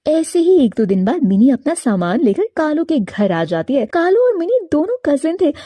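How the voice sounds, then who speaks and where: A woman narrating a scripted animated moral story as its storyteller. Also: high-pitched, moderate pace, dry recording.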